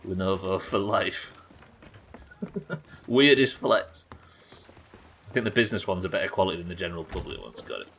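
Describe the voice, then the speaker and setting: A man's voice: low-pitched, expressive, normal speed, creaky, long pauses, fairly bright tone, husky. An English man doing casual live game commentary, sweary and jokey, bantering and reading out chat at his desk. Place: indoors at a desk.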